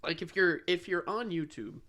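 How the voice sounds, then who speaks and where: Slightly higher-voiced man, rambling and sarcastic. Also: moderate pace, a bit bright, slight background noise. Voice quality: occasional stutter. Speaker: podcast co-host. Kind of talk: casual chat.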